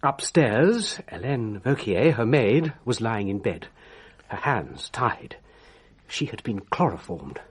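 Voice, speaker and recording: A lively, mannered old bachelor gentleman. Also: on the low side, slightly rough, full character voice. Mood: earnest. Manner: mannered, precise, theatrical pauses. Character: genteel confirmed old bachelor, fussy, admiring gentleman storyteller. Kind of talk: radio drama acting, storytelling.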